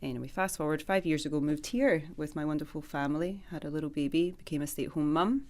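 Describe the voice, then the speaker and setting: Woman, Scottish accent, 30s, low voice, chatty radio guest. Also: quick pace, a little mellow. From Scotland. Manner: chatty, laughs easily, agrees often. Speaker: guest, personal trainer and dance teacher. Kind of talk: casual radio interview.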